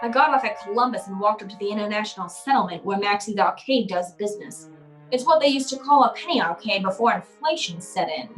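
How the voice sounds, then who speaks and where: A woman's voice: mid-range voice, lively intonation, quick pace, soft background music, lightly acted, poor audio. A woman playing a cynical, wry private eye, curt and hardboiled, in an old-time radio noir drama. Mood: wry narrating.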